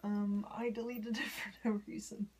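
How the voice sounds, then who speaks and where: A woman in her forties from the United States, rambling in a personal vlog with many ums and soft whispery asides. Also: medium pitch, little pitch movement, moderate pace, breathy edge. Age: 40s. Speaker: vlogger. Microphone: phone.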